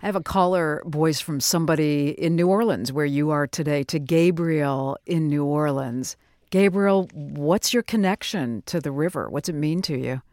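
A man's voice: a little high, moderate pace, darkish tone, dry recording, studio quality. A man hosting a live radio call-in interview, putting questions to guests and callers. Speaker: radio host.

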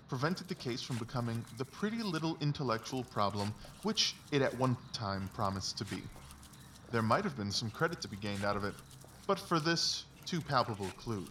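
A man's voice: medium pitch, a bit bright, close to an everyday voice. A confident Victorian detective acted by a man lays out his reasoning like a lecture, precise and emphatic. He sounds set back from the microphone, with faint noise behind him, in the manner of a dramatised audiobook. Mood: measured confidence. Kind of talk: dramatised literary audiobook dialogue. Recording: distant mic, faint background noise.

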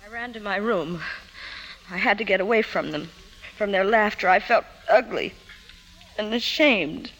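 An actress playing a troubled woman confessing painful memories in an emotive, halting way, in 1950s radio drama style. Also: medium pitch, a bit bright, poor audio. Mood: hurt, remembering. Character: troubled career woman confiding painful childhood memories to her doctor. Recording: 1950s radio broadcast, music behind.

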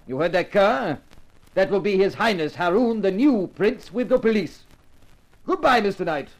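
A man's voice: high-pitched, animated, normal speed. A man playing a smug, suave villain in a 1950s radio crime drama, speaking with formal, mocking politeness on a noisy vintage recording. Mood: smug gloating. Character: smug, suave male villain, coolly gloating henchman.

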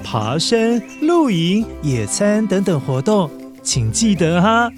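A man's voice: sits high, sing-song, slow, faint music behind. A man with a Taiwanese accent telling a story expressively, speaking directly to young children.

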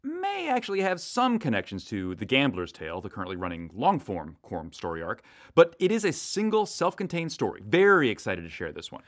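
A man's voice: mid-range voice, wildly swooping pitch, fairly quick, hardly any pauses. A man speaking as a podcast co-host, adding show news in a conversational way. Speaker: co-host of an audio theater podcast.

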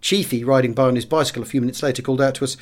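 A British man narrates a memoir as an audiobook reader. His voice sits at a medium pitch. He tells the story in a measured, expressive way, with a dramatic first-person feel.

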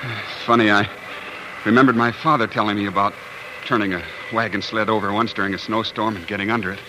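An actor playing a protective, plain-spoken young pioneer husband in a 1940s radio drama, folksy and reassuring. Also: male voice, fairly low pitch, steady tone, fast talker, close to an everyday voice. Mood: tender, reassuring. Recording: old noisy 1940s radio broadcast.

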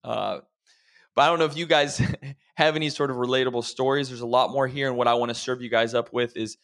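A man with an ordinary mid-range voice talks things through in a relaxed, reflective way, often turning to his listeners to encourage them. He sounds like a health coach in an easygoing podcast conversation. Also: mid-range voice, brisk. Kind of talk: casual podcast chat.

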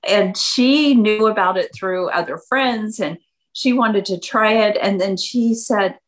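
A woman in her fifties, a guest chatting casually in an interview, animated and acting out what her friends said. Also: measured pace. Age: 50s. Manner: animated, acts out quoted friends in a higher voice. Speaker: guest entrepreneur.

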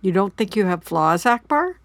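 A woman's voice: medium pitch, very animated, moderate pace, dry recording. A woman playing an anxious, guilt-ridden older mother in an audio drama, talkative, earnest and pushy in a rambling way. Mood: insistent, prying. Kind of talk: naturalistic audio drama acting.

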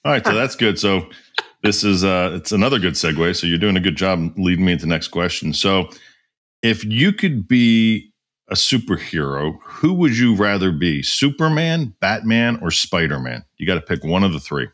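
A man hosting a podcast, asking questions and running a quick quiz game in a loose, repetitive way. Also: deep voice, sing-song, a bit bright. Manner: loose, repeats himself, many fillers. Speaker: podcast host.

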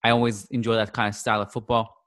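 A man's voice: fairly low pitch, flat delivery, fast, fairly bright tone. A man co-hosting a football podcast, chatting casually and rambling with frequent restarts as he thinks aloud. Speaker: podcast co-host.

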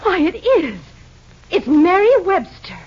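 An actress playing a polite, genteel agency manager in an old radio drama, measured and thoughtful. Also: female voice, very high-pitched, big pitch swings, heavily acted. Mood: surprised. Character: brisk, polite businesswoman running a nurses' agency. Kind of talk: 1940s-50s radio acting. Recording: muffled, hissy old radio recording.

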